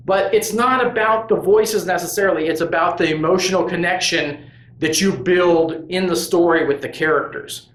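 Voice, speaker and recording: Man, channel host, casual monologue, slightly high voice, lots of restarts, echoey room. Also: normal speed, a bit bright. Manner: rambling, earnest, with many restarts and "you know". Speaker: host of a tabletop gaming crafts channel. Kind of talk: casual opinion monologue to camera.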